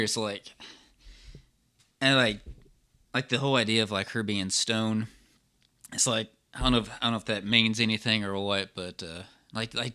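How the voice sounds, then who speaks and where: A man's voice: fairly low pitch, sharp tone. A man co-hosting a podcast in casual, colloquial chat, exclaiming and restarting as he reacts to a horror story. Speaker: podcast co-host. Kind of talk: casual chat about a horror radio drama.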